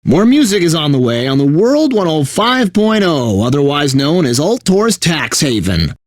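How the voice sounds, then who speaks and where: A man's voice: fairly high pitch, very animated, moderate pace, no echo, studio quality. An actor playing a bitter, sardonic video game radio DJ who resents his corporate bosses, mixing station patter with theatrical despair. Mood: weary announcer patter.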